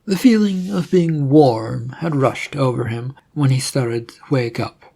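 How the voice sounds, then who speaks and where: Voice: mid-range voice, unhurried, creaky, warm tone, husky. A narrator reading scripted fiction aloud as a storyteller.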